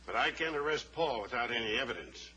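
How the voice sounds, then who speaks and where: A blunt, stubborn western sheriff. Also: male voice, medium pitch, animated, fast talker, pushed and bright, poor audio. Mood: skeptical. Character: gruff, stubborn small-town western sheriff. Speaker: sheriff character. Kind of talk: 1950s radio drama acting.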